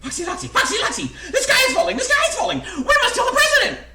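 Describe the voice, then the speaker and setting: A man voicing a panicky, dim female chicken in a shrill squawk for a comic storybook, frantically exclaiming and repeating. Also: big pitch swings, fast, gravelly, full character voice. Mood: frantic, shrieking. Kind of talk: comic storybook read aloud. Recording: echoey room, faint background noise.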